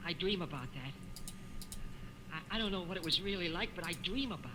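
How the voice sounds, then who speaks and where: A man's voice: high-pitched, animated, quick pace. An actor in an old-time radio drama playing a troubled, wistful young crewman. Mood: wistful, uneasy. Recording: old radio recording, noisy.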